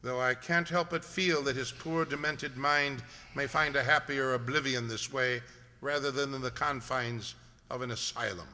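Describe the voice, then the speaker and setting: A man's voice: medium pitch, fairly even intonation, normal speed, bright, forceful tone. A man playing a stern, commanding detective in a radio mystery, speaking with declamatory authority. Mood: somber. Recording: long hall reverb.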